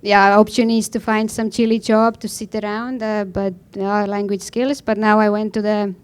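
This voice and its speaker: Clear-voiced woman with an Estonian accent. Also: mid-range voice, flat delivery, moderate pace. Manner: blunt, informal, non-native phrasing. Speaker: panel guest, professional snowboarder. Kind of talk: conversational personal account on a panel.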